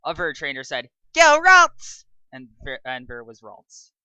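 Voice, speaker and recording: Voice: medium pitch, normal speed, sharp tone, dry recording. A narrator giving an amateur dramatic reading of fan fiction.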